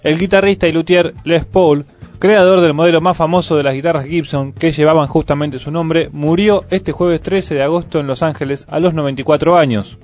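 A man's voice: on the high side, steady tone, brisk, darkish tone. A man with an Argentine Rioplatense accent reading scripted news on the radio, as a presenter. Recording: music playing under the voice. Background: music bed.

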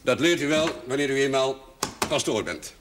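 Actor as a pompous old notary, swooping intonation. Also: male voice, mid-range voice, animated, moderate pace, background noise, lightly acted. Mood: sardonic, expansive. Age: older, over 50. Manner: pompous, formal, ironic turns of phrase. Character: pompous, sardonic, shrewd old village notary and moneylender. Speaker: actor in a television drama. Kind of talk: theatrical television drama dialogue.

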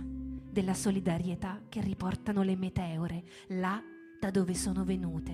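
A woman giving a theatrical literary reading, solemn and deliberate, in short measured fragments. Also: medium pitch. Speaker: stage performer and narrator. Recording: music bed under the voice. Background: guitar music.

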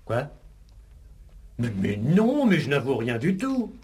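An actor playing a defensive, irritable husband accused of murder in a 1960s French radio police play, protesting and stammering when pressed. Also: male voice, medium pitch, wildly swooping pitch, takes it slowly, warm tone. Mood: indignant protest.

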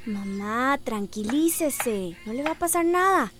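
High girlish voice, outspoken village daughter, teasing, radio soap acting, music behind. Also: animated, unhurried, fairly bright tone. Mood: insistent.

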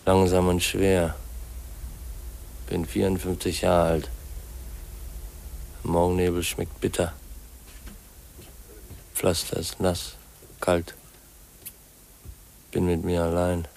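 A pensive young man in a 1950s German radio play. He talks in a measured, reserved, brooding way, with faint noise on the old recording. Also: low voice, completely flat delivery, gravelly, a little mellow, full character voice. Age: young adult. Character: pensive, reserved young heir, newly arrived in town.

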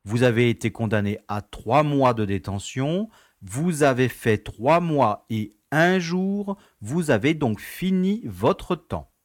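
An actor playing an easygoing, self-important prison director in an audio comedy, speaking in a courteous, formal, paternal way. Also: male voice, medium pitch, lively intonation, takes it slowly, dry recording, studio quality. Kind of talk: French radio comedy acting.

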